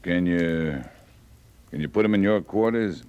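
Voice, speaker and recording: An actor playing a stern cavalry captain, curt and authoritative, in an old-time radio western. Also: male voice, deep voice, measured pace, darkish tone, clear, resonant tone, dull audio. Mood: commanding. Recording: noisy vintage radio recording.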